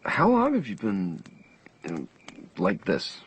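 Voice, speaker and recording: An actor playing a curious, uneasy man in a radio drama, asking hesitant questions in a gravelly voice. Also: low voice, wildly swooping pitch, slow, a little hiss or hum, exaggerated voice. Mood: uneasy curiosity. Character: curious, uneasy male listener questioning a stranger.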